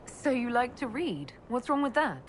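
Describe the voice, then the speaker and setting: An actress playing a poised, playfully wry young heroine and leader in a fantasy video game. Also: female voice, on the high side, sing-song, moderate pace, slight background noise. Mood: amused. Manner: wry, lightly teasing.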